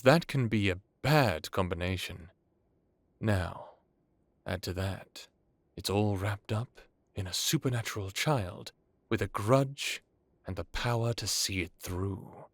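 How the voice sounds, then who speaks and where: A man acts out an ancient vampire lord in an audio drama. His low voice is courtly and measured, with theatrical pauses. He sounds wry yet commanding, recorded very dry. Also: dry recording. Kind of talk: dramatic audio roleplay acting.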